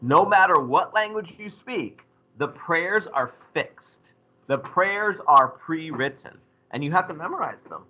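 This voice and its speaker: A man teaching a history class, lecturing in a lively way and putting questions to his students. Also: a little high, unhurried, lo-fi sound. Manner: animated, asks the class questions.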